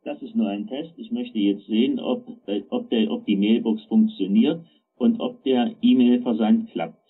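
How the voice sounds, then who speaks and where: A man speaking a recorded voicemail message, heard through dull, low-fidelity audio. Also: moderate pace, husky.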